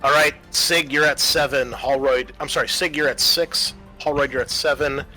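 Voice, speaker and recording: A man with a bright, forward voice runs a tabletop roleplaying game. He narrates and explains rules in an informal way, swearing casually. Soft music sits behind his middle-pitched voice. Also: steady tone, normal speed, low-quality recording. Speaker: game master.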